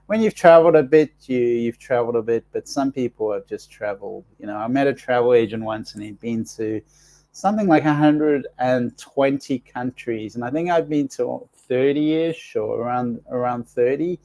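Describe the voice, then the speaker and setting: A man's voice: medium pitch, normal speed, mellow. Rambling man with allergies, fillers. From South Africa. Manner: rambling, with frequent restarts and fillers. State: congested with allergies. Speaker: livestream host and healer. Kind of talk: casual livestream chat reading viewer comments.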